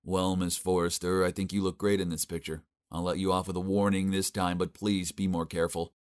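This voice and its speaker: A man voicing a calm male traffic cop who speaks in a measured, polite way. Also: deep voice, smooth, no room sound, clean studio sound, natural voice.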